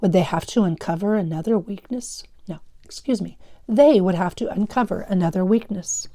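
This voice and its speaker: A woman's voice: mid-range voice, moderate pace, dark tone. A woman teaching fifth grade, explaining instructively and reading a story aloud to pupils.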